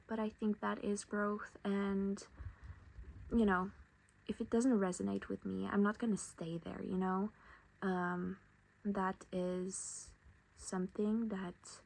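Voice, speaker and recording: Slow-talking woman with a creaky voice. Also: medium pitch, poor audio. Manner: hesitant, halting, reflective delivery. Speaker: vlogger demonstrating a craft. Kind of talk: casual personal chat while crafting.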